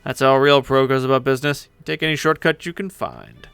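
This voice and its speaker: A man's voice: mid-range voice, steady tone, quick pace, gravelly, fairly bright tone, clear, resonant tone, no echo, faint music behind. A man voicing a brash, sarcastic, boastful female pirate ghost in a dramatic story reading. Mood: cocky. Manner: impatient, sarcastic, swearing.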